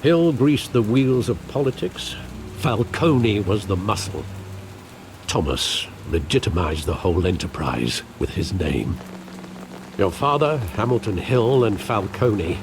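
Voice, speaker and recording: An actor playing a loyal, weary older butler and guardian in a video game, speaking in a measured, earnest, formal way. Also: male voice, fairly low pitch, noisy background. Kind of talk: dramatic video game acting.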